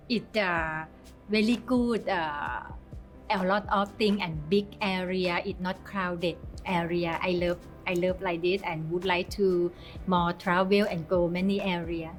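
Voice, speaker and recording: Woman, slow and halting, simple English, faint music. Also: fairly low pitch, low-quality recording. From Thailand. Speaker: interviewee. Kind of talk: personal interview. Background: background music.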